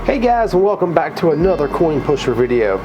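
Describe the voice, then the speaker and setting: A man with a cold, a little raspy and tired, chatting casually through a coin pusher vlog in an arcade. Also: on the high side, fairly quick, music in the background, low-quality recording. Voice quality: slightly mumbled from a lozenge. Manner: chatty, excitable at wins. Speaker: vlogger.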